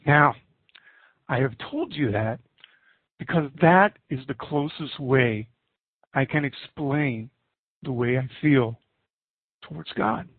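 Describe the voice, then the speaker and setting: A middle-aged man preaching a sermon and reading scripture in an earnest, deliberate way with pauses. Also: medium pitch, slightly rough, no echo. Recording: muffled narrowband, phone-like audio.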